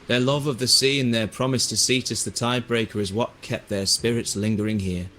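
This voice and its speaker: A man playing a thoughtful, earnest seafarer in a video game, musing in a reflective and deliberate way. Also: medium pitch, fairly even intonation, fairly bright tone, a little hiss or hum, close to an everyday voice. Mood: solemn, wistful. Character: thoughtful adult male seafarer, earnest and wistful. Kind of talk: video game voice acting, narrative dialogue.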